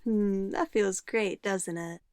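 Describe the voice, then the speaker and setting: A woman playing a sweetly menacing, possessive witch in an ASMR roleplay, cooing and coaxing condescendingly in a soft voice. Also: medium pitch, fairly even intonation, takes it slowly, no echo, studio quality. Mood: gushing, adoring. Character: possessive young-sounding witch, sweetly menacing, doting on her familiar.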